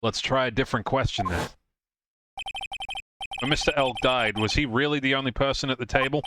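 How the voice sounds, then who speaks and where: A man's voice: mid-range voice, a little fast, very noisy, close to an everyday voice. A man playing an earnest young defense attorney in a comedic game dub, casual, jokey and probing. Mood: wry, curious. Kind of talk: comedic live fan dub of a video game. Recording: game music behind the voice.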